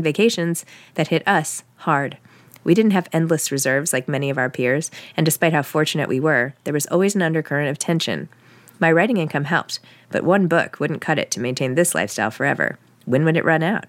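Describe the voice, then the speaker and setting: A woman's voice: low-pitched, fairly quick, no echo. A woman reading first-person fiction aloud in a wry, conversational way, like an audiobook. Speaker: author reading own novel.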